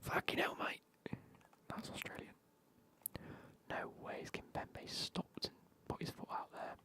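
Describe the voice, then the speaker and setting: A man's voice: unhurried, fairly bright tone. A man, whispering as he banters with his live stream chat and swears now and then.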